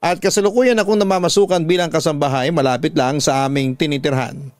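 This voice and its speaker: Higher-voiced Filipino man telling stories, dry sound. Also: steady tone, fast talker, a little mellow, no room sound. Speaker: radio drama host and storyteller, advice giver. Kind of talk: dramatic first-person reading of a listener's letter.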